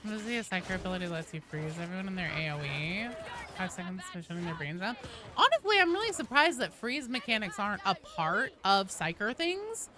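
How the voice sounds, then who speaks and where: A woman livestreaming a video game, chatting casually and commentating in an informal, sweary, animated way. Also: medium pitch, fairly quick, noisy background.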